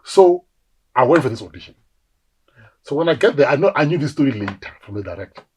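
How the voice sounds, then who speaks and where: Husky-voiced South African man telling stories. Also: medium pitch, fast talker. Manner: animated storyteller, acts out quoted voices. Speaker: actor guest. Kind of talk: casual interview storytelling.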